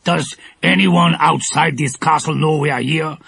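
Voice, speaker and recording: A man's voice: a little high, steady tone, measured pace, gravelly, fairly bright tone, slight background noise, full character voice. A man reading a storybook aloud, voicing a menacing, ugly adult male villain who threatens two captured girls. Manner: threatening, interrogating.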